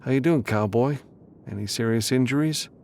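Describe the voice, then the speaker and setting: A confident, teasing freelancer woman from a space western , voiced by a male narrator. The delivery is lifted in pitch, playful and assertive, with faint noise behind it. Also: normal speed, a little mellow, natural voice. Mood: brisk, teasing.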